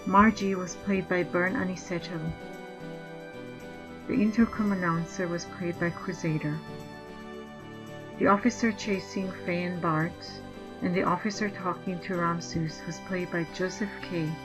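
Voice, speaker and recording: Slow woman reading credits over music. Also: fairly low pitch, fairly even intonation. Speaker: credits reader. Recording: dull lo-fi recording with music.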